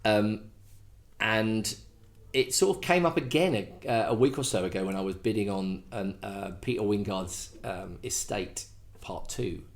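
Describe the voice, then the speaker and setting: A man's voice: on the low side, measured pace. A middle-aged man from England hosting a casual request show, rambling and self-deprecating, full of fillers and restarts. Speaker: host. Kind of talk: casual chat.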